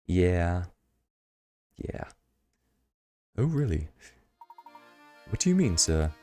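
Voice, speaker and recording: A man streaming a puzzle game, his voice a bit hoarse, rambling through casual commentary with chuckling asides. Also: low voice, big pitch swings, very slow, mellow, soft background music.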